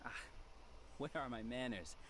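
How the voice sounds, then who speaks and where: A man voicing a persuasive, smooth-talking researcher in an anime game, theatrical and insinuating. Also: medium pitch, animated, moderate pace, a little hiss or hum, close to an everyday voice.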